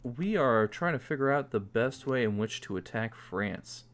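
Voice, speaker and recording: A man's voice: medium pitch, lively intonation, normal speed, a crowd in the background. A man streaming a strategy game, talking in a hesitant, polite way with frequent restarts. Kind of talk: casual multiplayer voice chat.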